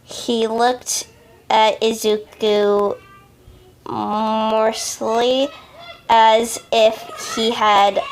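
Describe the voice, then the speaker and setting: A woman reading a story aloud as an amateur, haltingly, often stumbling over words and correcting herself. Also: on the high side, a little hiss or hum. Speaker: amateur fan fiction reader.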